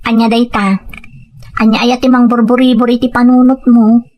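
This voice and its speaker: A woman with an Ilocano accent playing a caring, concerned wife in an audio drama, with a husky voice. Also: fairly high pitch, level tone, moderate pace, darkish tone, a little hiss or hum, natural voice. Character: caring Filipino wife, gently questioning, concerned.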